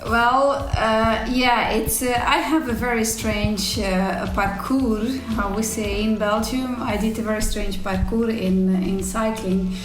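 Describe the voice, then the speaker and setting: A woman, telling her story as an interview guest in halting, emphatic English. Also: fairly high pitch, fairly even intonation, soft background music. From former Soviet Union. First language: not English. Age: 40s. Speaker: podcast guest, cycling team executive. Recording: roomy, echoey sound.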